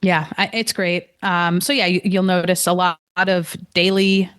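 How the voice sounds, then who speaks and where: A woman's voice: on the low side, level tone, unhurried, fairly bright tone, clear, resonant tone. A woman chatting casually as a podcast guest. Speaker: podcast guest, newsletter writer. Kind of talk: casual chat.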